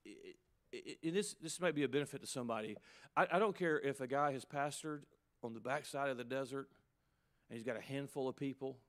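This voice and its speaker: A man in his fifties, a pastor preaching a sermon with emphatic, punchy delivery and quick asides. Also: mid-range voice. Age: 50s.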